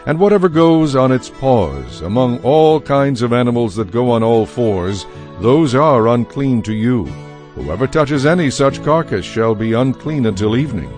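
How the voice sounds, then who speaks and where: A man narrating scripture from a script in a solemn, measured manner. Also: mid-range voice, lively intonation, darkish tone, soft background music.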